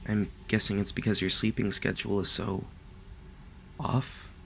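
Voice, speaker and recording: A man playing a lovestruck, possessive kidnapper in an ASMR roleplay, speaking softly and haltingly to the listener, sometimes close to a whisper. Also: fairly low pitch, flat delivery, gravelly, a little hiss or hum. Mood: worried, relieved. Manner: soft, gentle, halting, sometimes dropping to a whisper. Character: lovestruck male yandere kidnapper, doting, possessive, awkwardly reassuring.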